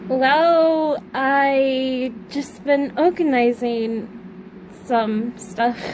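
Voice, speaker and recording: A high, youthful teenage girl acted by a woman speaks very slowly and hesitantly, as a reserved, evasive daughter in a homemade audio drama. She sounds tired and understated, over a clearly noisy, rumbling recording. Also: fairly even intonation. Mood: evasive, subdued. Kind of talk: amateur audio drama acting, naturalistic.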